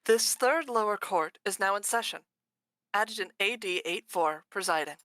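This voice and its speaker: A woman voicing a stately female machine judge, speaking in a formal, measured courtroom manner. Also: mid-range voice, fairly bright tone, dry recording, natural voice, low-quality recording. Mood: solemn. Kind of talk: voice acting a game live on stream.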